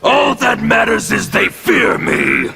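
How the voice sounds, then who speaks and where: An actor playing a menacing male revolutionary zealot in a fighting game, with a gravelly, snarling, theatrical delivery. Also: very high voice, slow pace, pushed and bright, soft background music, full character voice. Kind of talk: fighting game intro dialogue.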